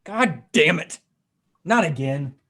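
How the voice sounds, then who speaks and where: A man's voice: a little high, animated, slow, fairly bright tone, exaggerated voice. Man acting a plainspoken, decent sheriff's deputy. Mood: frustrated. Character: decent, steady male small-town sheriff's deputy, survivor. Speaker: actor in an amateur table read. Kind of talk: dramatic script reading. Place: remote video call.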